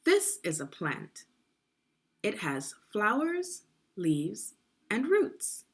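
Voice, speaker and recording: A woman's voice: mid-range voice, huge pitch swings, very slow. A woman narrating a guided read-along for children, speaking in an instructive way and putting questions to young listeners. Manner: clearly enunciated, instructive, addressing young learners.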